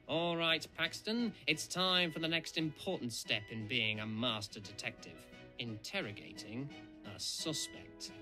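Man acting a cocky engine detective, bright tone, swooping pitch, pompous, cartoon. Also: rough recording. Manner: pompous, self-assured. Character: cocky male red steam engine playing detective.

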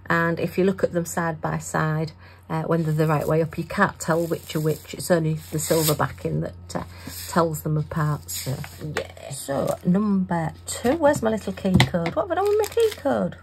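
A middle-aged woman talking casually to herself while crafting, her voice going hoarse. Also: fairly low pitch, moderate pace, noisy background. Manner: chatty, talks to herself. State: losing her voice. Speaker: craft vlogger.

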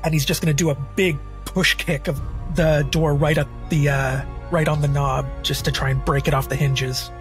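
Guy player at an rpg game, folksy and informal, voice a bit high, faint music behind. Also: little pitch movement, normal speed. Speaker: player in a tabletop roleplaying game. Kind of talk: casual in-character roleplay and describing actions.

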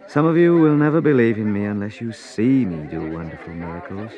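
A man voicing a gentle, calm Jesus in a storybook reading, speaking softly. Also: on the low side, brisk, highs cut off, some chatter behind, close to an everyday voice.

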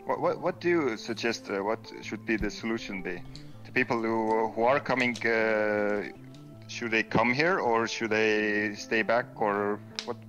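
A man from Estonia with an Estonian accent, chatting casually in a second language, halting and searching for words. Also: on the low side, fairly bright tone, dull audio, faint music behind. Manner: halting, many ums and uhs, searching for words. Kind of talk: casual voice chat.

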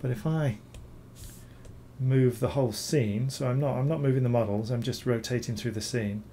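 A man, hoarse from recovering from illness, talking through a screen-recorded software tutorial, rambling with many ums and repeated words. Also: medium pitch, normal speed, slight background noise. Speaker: bas-relief sculptor reviewing software.

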